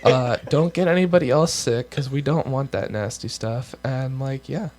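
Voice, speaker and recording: Teen guy losing his voice, reads stuff out then goes off on jokey tangents, says like a lot, kinda mid pitch. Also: normal speed, darkish tone. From United States. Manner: offhand, joking asides, says "like" a lot. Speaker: co-host. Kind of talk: casual chat, reading guidelines aloud.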